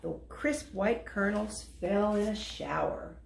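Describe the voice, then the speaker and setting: A woman reading a children's story aloud as a storyteller, deliberate and expressive. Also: mid-range voice, creaky, a little hiss or hum. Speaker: volunteer storyteller. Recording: echoey room.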